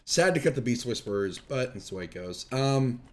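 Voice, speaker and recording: A young man talks through a card game match as host, his voice worn thin and going hoarse from illness. He sits at an ordinary middle pitch and keeps a loose, chatty, self-deprecating manner throughout. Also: expressive, fairly quick. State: losing his voice. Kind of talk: casual gameplay commentary.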